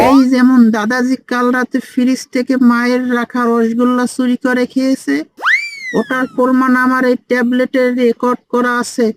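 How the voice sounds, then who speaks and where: A cheeky five-year-old cartoon boy acted by a woman, high-voiced and fairly flat in intonation. He lectures like a bossy know-it-all, with noise on the track. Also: close to an everyday voice. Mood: smug. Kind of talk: comic cartoon dialogue.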